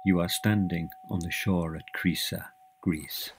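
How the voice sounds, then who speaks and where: A man narrating a scripted guided visualization in a measured, deliberate way, giving instructions in short phrases. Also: low-pitched, fairly even intonation, a little hiss or hum.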